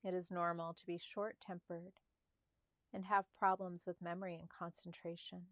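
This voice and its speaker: A woman guiding a meditation in a soft, slightly breathy voice, speaking calmly and soothingly in short, spaced phrases. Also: fairly low pitch, moderate pace, dry recording. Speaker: meditation guide. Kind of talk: guided meditation narration.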